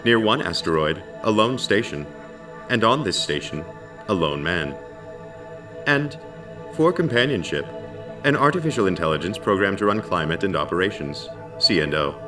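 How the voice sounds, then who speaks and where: A man playing a calm, dutiful artificial intelligence who narrates a science fiction audio drama in a measured, formal and precise way. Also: sits low. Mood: measured storytelling. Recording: slightly distant, music underneath. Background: music.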